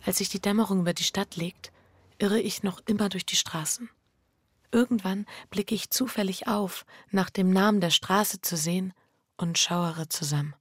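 An actress playing an anxious, guilt-ridden wife narrating a radio drama in an intimate, breathy, introspective way. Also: female voice, medium pitch, no room sound, studio quality, close to an everyday voice. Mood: restless, agitated. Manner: introspective, hushed inner monologue with halting dialogue. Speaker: lead actor and first-person narrator.

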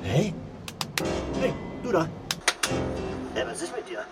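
Whiny greedy young office underling, super animated, brash, kids audio play w loud music. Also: female voice, low voice, takes it slowly, exaggerated voice. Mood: envious, indignant. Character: envious, grasping, slangy young fashion-company underling. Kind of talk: children's radio play acting. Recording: music bed behind the voice.

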